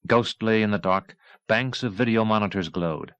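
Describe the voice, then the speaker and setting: A man's voice: on the low side, moderate pace, a bit bright, clean tone, no room sound, highs cut off. A man reading a novel aloud as a scripted audiobook narration. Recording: 1980s cassette audiobook.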